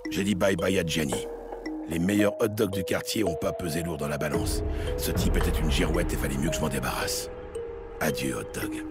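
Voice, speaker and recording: A man playing a hard-boiled, cynical New York police lieutenant in a French audio crime drama, gruff, curt and sardonic. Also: low voice. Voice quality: gravelly. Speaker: voice actor in an audio series.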